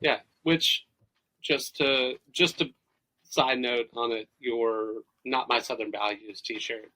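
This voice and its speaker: A man in his late thirties who grew up in the rural Deep South, co-hosting a podcast in a chatty, digressive way. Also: steady tone, slow pace, bright, forceful tone, rough recording. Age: late 30s. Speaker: podcast co-host. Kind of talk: casual conversation.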